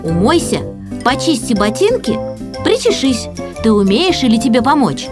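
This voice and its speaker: A fussy yet kind artist schoolmaster from a children's audio play, voiced by a woman. He gives orders in a measured, practical way, with lively intonation in a fairly high register. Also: music in the background. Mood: kindly, instructing. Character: male artist teacher, fussy but kindly.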